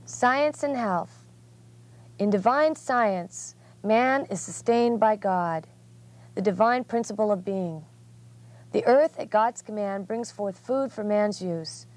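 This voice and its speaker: A woman reading religious text aloud as a guest reader, in a measured, earnest way. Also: mid-range voice, a little mellow.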